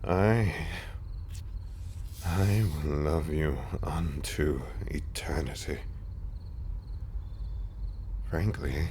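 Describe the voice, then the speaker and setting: A man playing a devoted zombie husband in an intimate roleplay audio drama, speaking in a breathy, halting, wryly romantic and eloquent way. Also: a bass voice, a little mellow, slight background noise, exaggerated voice.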